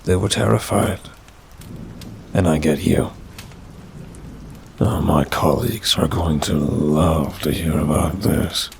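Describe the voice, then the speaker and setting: Low, gravelly, slow-drawling male demon, acted by a man. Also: steady tone, a little mellow, a little hiss or hum, exaggerated voice. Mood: wry, disappointed. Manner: dry, languid, drawling, faintly mocking. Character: bored male demon with claws and fangs, wry, condescending. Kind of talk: ASMR roleplay, intimate scripted monologue.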